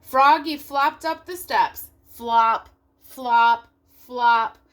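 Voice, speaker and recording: A woman reading a storybook aloud for children in an animated narrating style. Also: fairly high pitch, extremely slow. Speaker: storybook reader.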